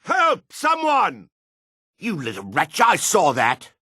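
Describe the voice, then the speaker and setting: A gruff dark elf for a fantasy game, his voice raspy and forceful. He is suspicious and curt, with a sneering edge and pitch that swings widely from line to line. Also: huge pitch swings, slow, dry recording, clean studio sound, exaggerated voice. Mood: panicked shouting, then angry, accusing. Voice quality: gravelly, raspy. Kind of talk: video game character barks.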